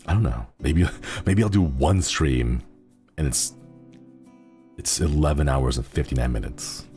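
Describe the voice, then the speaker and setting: A man's voice: very deep voice, a little slow, darkish tone, soft background music. A man chatting casually on a livestream, reading viewer messages and rambling with frequent giggles. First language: Xenokuni (in-fiction language). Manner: rambling and easygoing, giggles often.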